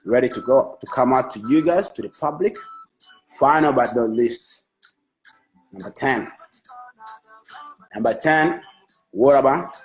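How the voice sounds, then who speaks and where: A man's voice: mid-range voice, low-quality recording. A man whose first language is Swahili, a music manager talking on a Facebook live, enthusiastic and repetitive, restarting his phrases as he praises an artist. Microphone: phone.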